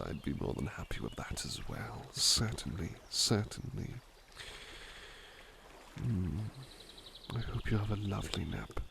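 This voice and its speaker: Give the voice of a devoted butler , acted by a man, in a gentle ASMR roleplay. He speaks slowly with a gravelly, creaky edge, courteous and tender. His intonation swoops freely as he softly reassures the listener. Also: deep voice, noisy background, full character voice. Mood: tender, sleepy. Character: devoted, gentle, polite male butler companion. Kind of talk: ASMR roleplay, soft personal attention.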